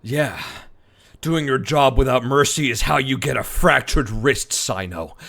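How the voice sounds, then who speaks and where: A man's voice: medium pitch, a little slow, vocal fry, fairly bright tone. A man doing casual, joking game commentary as a streamer, sweary and mock-dramatic.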